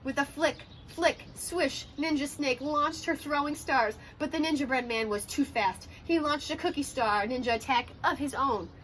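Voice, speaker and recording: High, bright young woman reading a storybook. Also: moderate pace, few pauses, slight background noise. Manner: dramatic, carefully paced for children. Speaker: teacher reading aloud. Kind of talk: expressive children's storybook narration. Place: outdoors on a porch by a brick wall.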